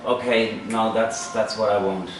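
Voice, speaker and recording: A man's voice: fairly low pitch, level tone, a little slow. Man in an echoey room. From Germany. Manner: many restarts and repeated words, thoughtful. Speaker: musician interviewed. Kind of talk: casual interview chat. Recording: distant mic in a very echoey room with faint noise.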